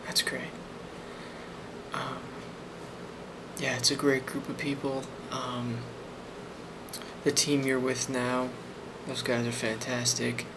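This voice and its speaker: A man speaking softly in an ASMR role play as a boss, hesitant and reassuring, with frequent pauses. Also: medium pitch, monotone, noisy background. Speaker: ASMR role-player playing a boss giving a review.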